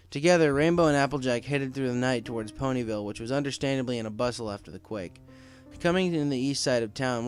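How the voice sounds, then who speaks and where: A man's voice: medium pitch, smooth, dry recording. A man reading a scripted story aloud as the narrator of a piece of fan fiction.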